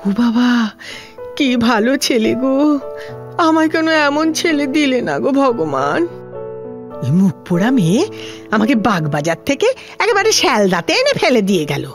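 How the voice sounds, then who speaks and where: A woman's voice: on the high side, sing-song, a little slow, long pauses. An older woman playing a frail, lonely former housemaid in a Bengali audio drama, rambling in homely Kolkata Bengali with a plaintive manner. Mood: curious, homely. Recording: music behind the voice.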